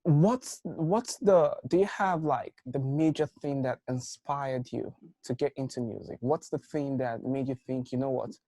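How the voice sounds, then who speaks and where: Man asking halting interview questions. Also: mid-range voice, dark tone, dry recording. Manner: searching questions with restarts and affirming murmurs. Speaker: interview host.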